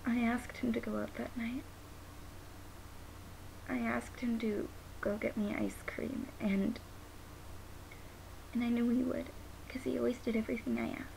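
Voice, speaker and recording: A woman's voice: a little high, steady tone, measured pace, creaky, long pauses, a little mellow, low-quality recording. Young woman acting a grieving, guilt-ridden daughter. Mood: guilty sorrow. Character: grieving, guilt-ridden daughter who lost her father. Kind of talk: dramatic monologue. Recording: noticeable background hiss.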